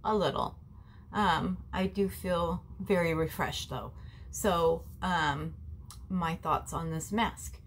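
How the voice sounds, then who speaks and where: A woman's voice: on the low side, fairly even intonation, takes it slowly, slight background noise. A woman in her forties with a nasal, stuffy voice from a cold, chatting to her viewers about a face mask at home. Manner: chatty, talks to viewers as family, frequent ums. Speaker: beauty vlogger. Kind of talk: casual skincare review vlog. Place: small bedroom at home, indoors. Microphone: camera in front at desk distance.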